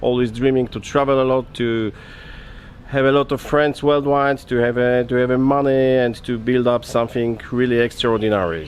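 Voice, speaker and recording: A man from Poland, speaking in halting, non-native English while introducing himself. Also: medium pitch, slight background noise. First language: Polish. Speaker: mastermind participant. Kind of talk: casual self-introduction.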